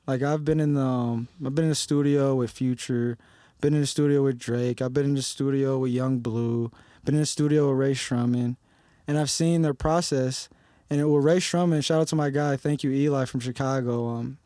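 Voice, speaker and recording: A man's voice: mid-range voice, fairly even intonation, normal speed, warm tone, no room sound, clean studio sound. A young man from Detroit, a rapper guest on a podcast, talking casually with heated, emphatic swearing.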